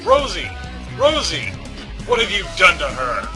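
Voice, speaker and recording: A young cartoon hedgehog hero, performed by a male narrator, speaking high and emphatically. He is hot-headed, devoted and emotional, with music playing behind him. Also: wildly swooping pitch, a little slow. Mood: defiant, urgent.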